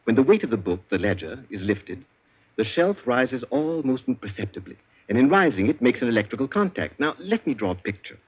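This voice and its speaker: Urbane, cunning British prisoner, suave and precise, husky edge, old lo-fi radio suspense recording. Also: male voice, mid-range voice, sing-song, brisk. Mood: smooth, smug. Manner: suave, precise, leisurely explaining. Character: urbane, cunning male captive inventor, smugly polite.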